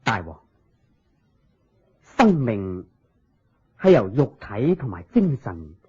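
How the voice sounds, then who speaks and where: An actor playing a serene, wise Buddha in a Cantonese radio drama, teaching in measured phrases with a husky voice and a Hong Kong accent. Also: male voice, medium pitch, very animated, mellow, heavily acted. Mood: calm, teaching. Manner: measured, sermon-like explaining in short phrases. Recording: dull lo-fi radio drama recording.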